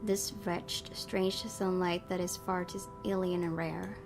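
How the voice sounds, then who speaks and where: A woman's voice: on the low side, level tone, slow pace, creaky. A woman hosting a gaming video, reading visual novel narration aloud with casual asides. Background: game music.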